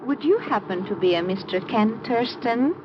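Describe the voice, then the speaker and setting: A woman's voice: a little high, animated, moderate pace, close to an everyday voice. A woman playing a polite, composed young nurse in a 1950s radio drama, formal and careful. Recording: muffled noisy 1950s radio recording.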